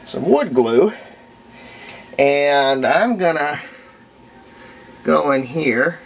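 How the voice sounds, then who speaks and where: A hobbyist restorer giving a casual hands-on workshop demonstration, thinking aloud in a halting way as they work. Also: medium pitch, lively intonation, gravelly. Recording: noisy room recording with ambient sound.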